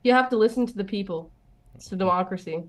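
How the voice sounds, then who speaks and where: A woman co-commentating live bridge on a video call, teasing the others in quick banter. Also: mid-range voice.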